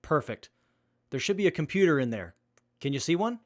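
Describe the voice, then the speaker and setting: A man acting as an earnest, capable young survivor with a measured, methodical manner in a post-apocalyptic audio drama. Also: a little high, sing-song, slight background noise. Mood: focused.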